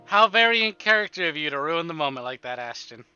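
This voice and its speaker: Very bright, forceful man narrating over music. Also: on the high side, lively intonation, fast talker, lo-fi sound. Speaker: livestreamer reading a visual novel aloud. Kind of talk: expressive narration with exasperated asides. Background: game music.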